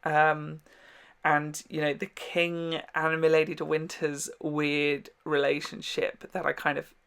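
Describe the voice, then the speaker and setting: A man's voice: on the high side, monotone, takes it slowly, vocal fry, fairly bright tone, clean tone. A man giving a casual, candid TV reaction, rambling and gushing with some swearing. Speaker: reaction video host. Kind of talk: casual TV episode reaction chat.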